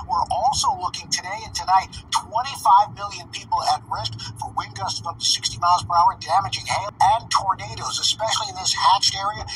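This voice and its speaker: A man delivering a weather forecast on a television broadcast in a brisk, clear presenter style. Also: sits high. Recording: TV broadcast replayed from a distance with background noise.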